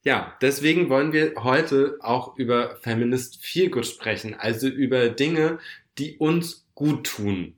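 A man's voice: medium pitch, measured pace. Chatty man with a cold, echoey room. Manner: chatty, self-mocking, mixes in English words. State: has a cold. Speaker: podcast co-host. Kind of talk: casual chat.

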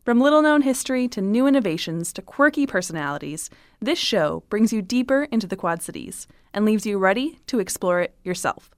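A woman hosting a radio interview, reading a bright, upbeat intro and then chatting casually with her guest. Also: mid-range voice, expressive, a little fast, no echo, clean studio sound. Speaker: radio show host.

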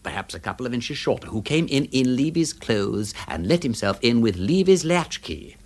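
An aristocratic English gentleman detective in a radio drama. His upper-class voice swoops dramatically up and down, full of playful exclamations, and he is witty, keen and theatrical. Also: medium pitch, sing-song, normal speed. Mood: excited, triumphant.

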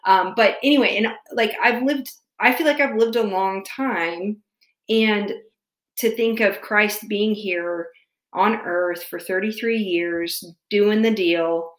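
Thirtysomething woman thinking out loud, kinda halting, roomy echo. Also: mid-range voice. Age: 30s. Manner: reflective, searching, halting. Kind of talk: casual faith conversation.

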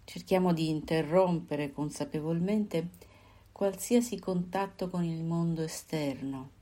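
Slow, low-voiced woman guiding meditation. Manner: calm, unhurried instruction with pauses between short phrases. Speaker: meditation guide. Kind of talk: guided body scan meditation.

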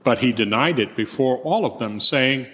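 An adult male voice narrates scripture as a church reader. The pitch is medium, and the sound carries a long reverberant tail. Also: expressive, normal speed, bright, forceful tone. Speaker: church member reading as narrator.

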